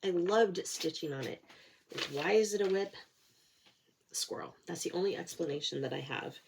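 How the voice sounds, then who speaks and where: Woman, middle-aged, very low voice, nasal with a cold, chatty crafting vlog, faint hiss behind. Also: normal speed, creaky. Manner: chatty and rambling, self-deprecating, many fillers. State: nasal from a cold, sore throat. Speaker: cross-stitch vlogger. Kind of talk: casual chat to camera.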